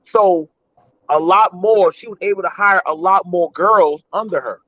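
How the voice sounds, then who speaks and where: High-voiced animated man on a dull line. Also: moderate pace, dry recording. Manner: animated, repeats and restarts words. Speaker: panelist. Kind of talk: casual debate chat.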